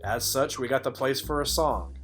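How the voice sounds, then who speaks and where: Casting: man to play world-weary private eye narrator for noir spoof audio play, mid-range voice, dry deadpan wit. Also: medium pitch, moderate pace, soft background music, natural voice. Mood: wry, deadpan. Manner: deadpan, dryly wry. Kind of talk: film noir parody narration.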